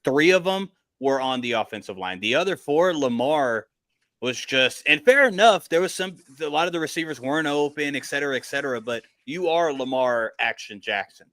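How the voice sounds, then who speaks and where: This is a man heading up a casual football panel. His voice is bright and forward, recorded dry with hardly any room around it. He argues emphatically, circling back on his points as he thinks them through. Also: mid-range voice, animated, moderate pace, no echo. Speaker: panelist leading a sports debate. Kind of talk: casual sports debate.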